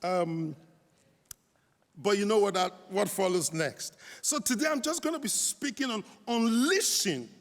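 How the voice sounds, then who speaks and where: Nigerian-accented middle-aged man, high, unhurried. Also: fairly bright tone. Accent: Nigerian with some London. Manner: many fillers, repeats, quotes scripture. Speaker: Baptist minister speaking at a conference. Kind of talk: conference talk. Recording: reverberant hall.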